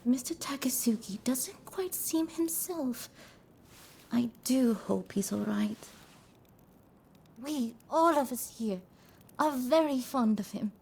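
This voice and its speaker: A woman's voice: sits high, lively intonation, slow, gravelly, long pauses, darkish tone, slight background noise, exaggerated voice. A woman playing a polite, anxious and devoted geisha with a deferential manner in a video game cutscene. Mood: tender concern.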